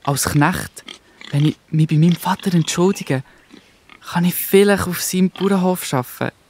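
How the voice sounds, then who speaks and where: A man's voice: high-pitched, normal speed, a little mellow, a little hiss or hum. A man playing a humble, remorseful young runaway son, pleading, in a Swiss German children's Bible radio play. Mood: regretful, hopeful. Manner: despairing, pleading.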